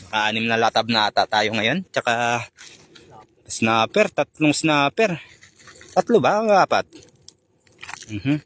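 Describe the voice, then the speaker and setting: Isang binatang nagla-live stream habang nangingisda sa gabi, madaldal at bumabati sa mga manonood sa pangalan. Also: male voice, medium pitch, slow, long pauses, fairly bright tone. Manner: chatty, greets viewers by name, laughs often. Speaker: fishing vlogger doing a live stream. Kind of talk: casual live-stream commentary. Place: concrete seawall at night, outdoors.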